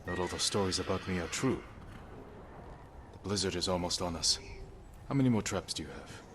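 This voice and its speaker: An actor playing a stoic, honourable samurai lord in a video game, restrained and serious in manner. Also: male voice, low voice, animated, brisk, fairly bright tone, a little hiss or hum. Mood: firm. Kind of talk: dramatic video game acting.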